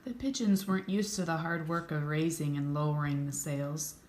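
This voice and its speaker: A woman's voice: sits low, steady tone, moderate pace. A woman reading a picture book aloud expressively, with chatty asides to her listeners. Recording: roomy echo, faint background noise.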